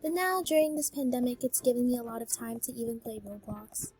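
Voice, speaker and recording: A teenage girl chatting casually while she plays a game, high-pitched and bubbly, with lots of ums. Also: animated, fairly quick, darkish tone, slightly rough. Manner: bubbly, full of filler ums, exclaims at game fails. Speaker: gaming YouTuber. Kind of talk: casual voice-reveal chat while gaming.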